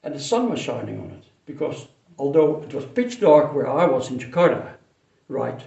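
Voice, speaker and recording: An older man who grew up in Indonesia, fondly telling a childhood memory in an unhurried way, with pauses and restarts. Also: mid-range voice, sing-song, creaky, dark tone, lo-fi sound. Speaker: storyteller. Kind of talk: personal memory told to camera. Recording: roomy echo, mic some distance away.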